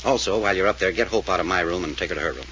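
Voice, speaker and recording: A brusque investigator in a 1940s radio mystery. His low voice is hard and bright, moving fast with dry, unflappable command. A slight noise from the old transcription runs under it. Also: low-quality recording. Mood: brisk, commanding. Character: brusque, unflappable male investigator taking charge.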